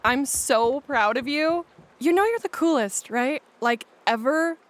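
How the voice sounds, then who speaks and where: A woman playing an affectionate, excitable young partner in an audio play. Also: high-pitched, measured pace, no room sound. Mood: proud, gushing.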